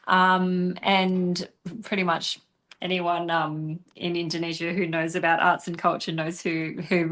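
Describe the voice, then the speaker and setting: A young adult woman answering interview questions in a relaxed, chatty way, often laughing and pausing with ums. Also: lowish voice. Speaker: interviewed actress and dancer.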